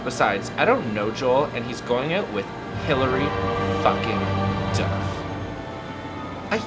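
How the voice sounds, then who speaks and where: A man's voice: medium pitch, big pitch swings, measured pace, loud music behind the voice. A man voicing a moody, foul-mouthed teenage goth girl, melodramatic and snappy. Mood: angry, disgusted.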